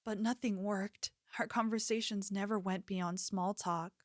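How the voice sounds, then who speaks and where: A woman's voice: mid-range voice, steady tone, measured pace, no echo, studio quality, close to an everyday voice. A woman playing an obsessive, possessive young yandere in an ASMR roleplay, speaking intimately and coaxingly, like a lover with menace underneath. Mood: confessional.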